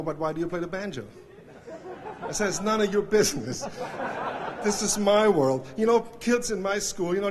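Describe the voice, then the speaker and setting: A middle-aged man from Switzerland with a Swiss German accent, giving an acceptance speech at an awards ceremony in a hall, halting and full of fillers and restarts. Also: high voice. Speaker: award inductee. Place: hall with audience. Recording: faint noise from live recording.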